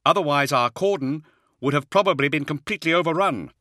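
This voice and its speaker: A man voicing a wiry male army colonel who reports in a loud, strained voice. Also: fairly high pitch, fairly quick, no echo, clean studio sound, pitched above the performer's own voice. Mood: urgent. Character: urgent male soldier colonel, wiry, shouting.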